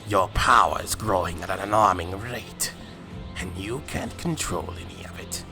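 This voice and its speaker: A man's voice: low-pitched, natural voice. A man playing a sinister, gloating villain who mocks and menaces in a fan-made anime drama, with music behind. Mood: cruel taunting. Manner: mocking, slow, menacing, chuckling. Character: gloating sinister villain possessing a father, cruelly taunting. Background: loud music.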